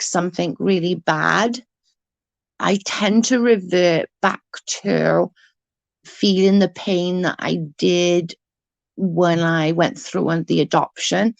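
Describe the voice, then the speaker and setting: Creaky-voiced woman who stutters. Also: fairly low pitch, dry recording. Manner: rambling, halting, often restarts and repeats words. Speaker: livestream host reading chat. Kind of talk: casual livestream chat.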